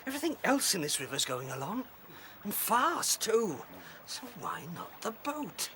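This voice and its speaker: A man's voice: sits high, unhurried, a little hiss or hum, exaggerated voice. A man playing a clever, inquisitive rabbit in a radio drama, questioning and a little hesitant. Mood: excited. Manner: animated, questioning, slightly hesitant.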